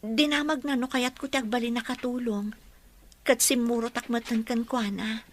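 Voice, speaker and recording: A woman's voice: on the high side, fairly even intonation, normal speed, close to an everyday voice. An actress playing a meek, distressed and grateful young mother in an Ilocano radio drama. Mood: uneasy, recounting. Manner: meek, deferential, pleading. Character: distressed, grateful, pregnant poor young woman. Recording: faint background noise.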